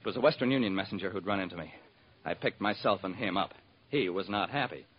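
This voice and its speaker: A man's voice: deep voice, quick pace, slightly rough. An actor playing a wry, hard-boiled private detective, narrating his case in clipped old-time radio style. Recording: muffled vintage radio recording.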